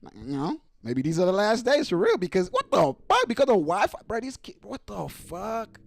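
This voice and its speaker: A man's voice: high-pitched, wildly swooping pitch, moderate pace, vocal fry, dry recording. A man from Nigeria hosting a reaction show, talking casually with heavy slang and swearing in an exasperated way. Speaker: host of a live reaction show. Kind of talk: casual ranting reaction commentary.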